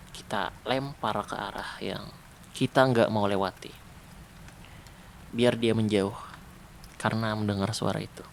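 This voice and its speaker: A man voicing a protective ex-special-forces survivor in a zombie apocalypse, talking softly and calmly in an ASMR roleplay. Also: fairly low pitch, vocal fry, slight background noise. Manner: soft, calm, unhurried, intimate. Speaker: roleplay voice actor.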